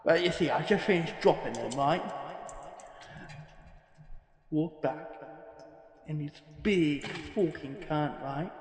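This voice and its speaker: A man's voice: a little high, slow, pauses often. A man playing a boastful, foul-mouthed thug giving a rambling statement in a parody horror podcast. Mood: gloating, conspiratorial. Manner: rambling, swaggering, sweary, self-interrupting. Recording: roomy echo with long reverb.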